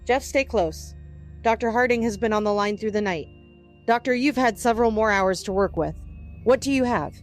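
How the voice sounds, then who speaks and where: A woman's voice: fairly high pitch, normal speed, soft background music, lightly acted. A woman playing a grave, careful news anchor in a scripted breaking news broadcast. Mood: grave, measured.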